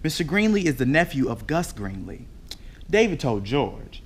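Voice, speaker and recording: A man's voice: a little high, big pitch swings, normal speed, slight background noise. A man playing a modest jazz composer looking back on his career in a staged dramatic reading. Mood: reminiscing. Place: theater stage, live reading.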